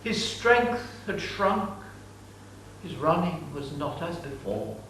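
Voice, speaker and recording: A man declaims an ancient story in a slightly high, unhurried voice. He sounds distant, as if recorded from across a very echoey room, with faint hiss underneath. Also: lively intonation, fairly bright tone. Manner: declamatory, solemn. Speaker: narrator. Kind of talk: dramatic reading of an epic.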